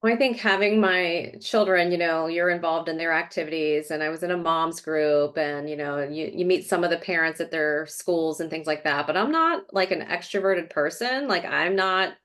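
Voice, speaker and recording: Casting: middle-aged woman, lowish voice, chatty and rambling, interview guest. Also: normal speed, few pauses, fairly bright tone. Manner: chatty, fillers, rambling storytelling. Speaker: real estate agent, guest. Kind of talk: casual interview conversation. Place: Florida.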